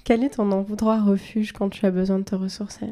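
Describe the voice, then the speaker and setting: Female podcast host, mellow mid-pitched voice, steady scripted delivery. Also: fairly quick. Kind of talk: reading interview questions and outro.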